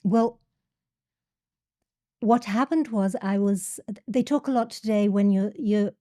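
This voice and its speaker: A woman's voice: mid-range voice, slow pace, dark tone, dry recording, clean studio sound. A middle-aged woman with an English accent, a podcast guest speaking hesitantly and often repeating words. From England. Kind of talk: casual interview.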